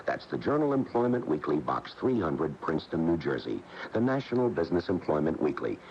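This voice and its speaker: A man's voice: extremely low pitch, very animated, a little fast. An American man announcing a scripted radio commercial. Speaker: advertising announcer. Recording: dull radio broadcast with faint noise.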